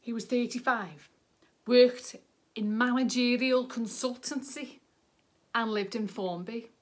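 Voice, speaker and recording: A woman's voice: a little high, steady tone, slow. A woman performing a comic monologue as a fussy, dry-humoured online dater telling of her romance. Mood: wry. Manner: wry, pedantic, matter-of-fact.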